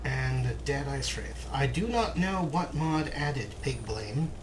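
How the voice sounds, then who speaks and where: A man's voice: mid-range voice, animated, slow. A man giving casual, wryly musing commentary as he plays a video game. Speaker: let's play host. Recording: clear background game noise. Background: video game sound effects.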